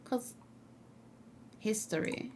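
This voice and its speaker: A woman's voice: drawn-out words, a little mellow. A woman streaming a let's play, giving casual, chatty commentary and musing aloud as she goes. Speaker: gaming streamer.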